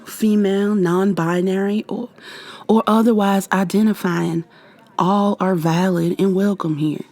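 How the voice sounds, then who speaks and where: A woman with an American accent playing a playful, flirty modern witch in an intimate ASMR roleplay, speaking softly and teasingly to the listener. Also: mid-range voice. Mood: warm, welcoming. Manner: teasing, affectionate, conversational with trailing pauses. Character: playful, flirty modern witch mentoring a new coven member.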